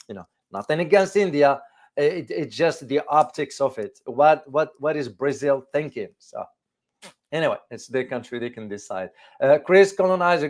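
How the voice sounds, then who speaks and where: A man in his fifties hosting informal geopolitical commentary for viewers, speaking haltingly and repeating phrases for emphasis. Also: mid-range voice. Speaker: host of a geopolitics show. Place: small furnished home room, indoors.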